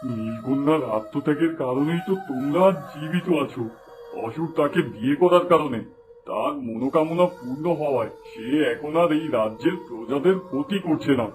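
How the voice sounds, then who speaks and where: A man's voice: on the high side, normal speed, over music, close to an everyday voice. A man voicing a grave, fatherly old wise man in a fairy-tale cartoon, speaking solemnly.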